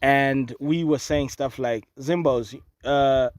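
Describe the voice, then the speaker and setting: A man's voice: mid-range voice, steady tone, slow, no room sound. A man from Zimbabwe co-hosting a casual comedic talk show outdoors, chatty and given to riffs. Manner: chatty, laughing, goes on riffs. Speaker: podcast co-host. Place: outdoors in open dry field.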